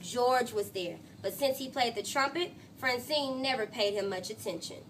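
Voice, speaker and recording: Female third grade teacher, expressive read-aloud with questions, bright and lively, some classroom noise. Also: on the high side, normal speed. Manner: expressive, asking questions and echoing answers. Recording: noisy classroom ambience.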